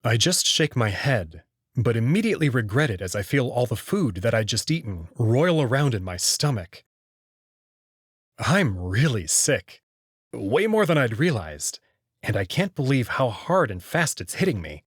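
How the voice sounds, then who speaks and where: A man reading scripted first-person visual novel narration in an expressive, dramatic way. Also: mid-range voice, a little slow, husky, dry recording, clean studio sound.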